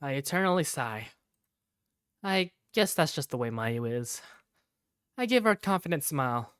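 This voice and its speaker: A woman's voice: sits low, moderate pace, smooth, dry recording, studio quality. A woman voicing an earnest young male student who narrates his daily life in a fan-dubbed visual novel. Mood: reflective. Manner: expressive first-person storytelling. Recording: background music under the voice.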